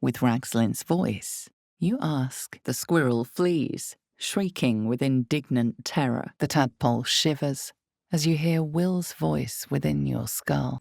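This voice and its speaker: A woman playing the ominous, measured storyteller of a dark fantasy game, narrating dramatically with deliberate, lingering pauses. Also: extremely low pitch, creaky, dry recording, studio quality, full character voice.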